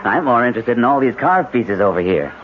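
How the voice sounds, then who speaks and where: An actor playing a curious, decisive city husband with a hearty, emphatic manner in a 1970s radio drama. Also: male voice, mid-range voice, animated, a little fast, natural voice. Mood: hearty, interested. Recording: noisy, thin, narrow-band 1970s radio broadcast.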